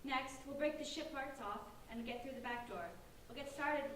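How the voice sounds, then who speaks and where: A woman playing a bossy, determined sixteen-year-old girl in a staged play reading, giving brisk orders. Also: sits high, lightly acted. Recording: roomy, distant, noisy stage recording.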